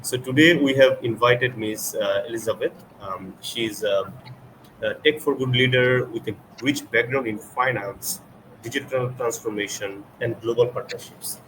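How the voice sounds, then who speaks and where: A man hosting a webinar and introducing a guest speaker in a halting, hesitant way. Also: mid-range voice, fairly bright tone, poor audio. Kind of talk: semi-scripted webinar introduction. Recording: noticeable background noise.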